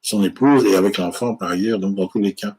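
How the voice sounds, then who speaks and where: A man's voice: lowish voice, a little fast, darkish tone, slightly rough. A man working as an immigration lawyer, answering viewer questions live and explaining the law with frequent hesitations and restarts.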